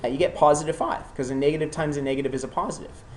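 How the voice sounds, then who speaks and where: A math teacher with a cold works through problems in front of a class at a middle pitch. The teacher is patient and encouraging, often checks that students follow, and asks them questions as they go. Faint noise sits under the voice. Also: steady tone, very fast. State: has a cold.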